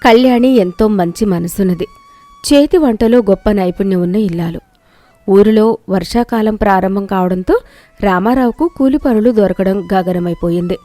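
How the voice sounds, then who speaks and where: A woman's voice: medium pitch, moderate pace, warm tone, no room sound. A woman storyteller delivering scripted narration of a village moral tale. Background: music.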